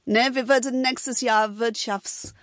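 A middle-aged English woman as an interview guest, with a fairly high voice and an English accent in her second language. She chats informally and animatedly, tossing in tag questions, in a dry close recording. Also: fairly even intonation, slow, no echo. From England, United Kingdom. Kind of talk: casual conversational storytelling.

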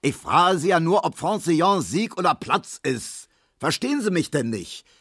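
A man's voice: low-pitched, fairly quick, dry recording, studio quality. A man voicing a choleric middle-aged male gambler who bellows and grumbles in exasperation. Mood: choleric bellowing.

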